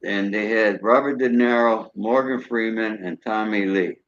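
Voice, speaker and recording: Man, interview guest, lowish voice, dry muffled remote audio. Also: measured pace, fairly bright tone, no echo. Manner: matter-of-fact, fillers like "you know". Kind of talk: casual interview chat.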